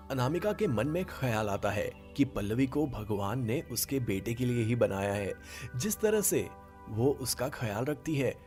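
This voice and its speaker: A man's voice: mid-range voice, darkish tone. A man reading scripted story narration as a storyteller.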